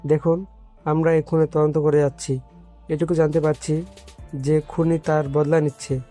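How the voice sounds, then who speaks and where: Guy as a no-nonsense cop in an audio story, soft dark tone, kinda flat, a bit high. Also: moderate pace, soft background music, close to an everyday voice. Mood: firm, reassuring. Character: firm, no-nonsense police officer investigating murder. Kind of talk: dramatized audio story acting.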